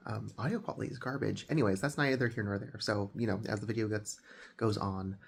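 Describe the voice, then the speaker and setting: A man's voice: lowish voice, fairly even intonation, fast talker, creaky, husky. A young man hosting a music channel, chatting casually and rambling with lots of fillers and restarts. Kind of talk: casual talk to camera. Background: metal music playing.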